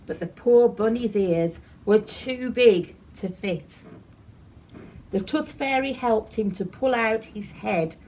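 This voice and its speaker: A woman reading a rhyming children's picture book aloud as a storyteller, in an animated, deliberate way. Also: on the high side, a little hiss or hum, low-quality recording. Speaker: library storyteller.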